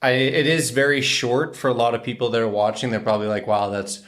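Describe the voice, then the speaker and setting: A man in his twenties co-hosting a casual couple Q&A vlog, relaxed and joking, speaking into a desk microphone in a small room. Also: mid-range voice, a little fast. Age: 20s. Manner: relaxed, joking, matter-of-fact. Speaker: co-host, hockey player. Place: small bright room indoors.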